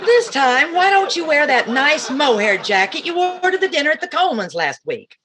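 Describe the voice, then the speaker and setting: Sassy comedy sidekick, played by a woman; high voice, very lively intonation, teasing old-time radio quips. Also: normal speed, noisy background. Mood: wry. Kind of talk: 1940s radio comedy acting.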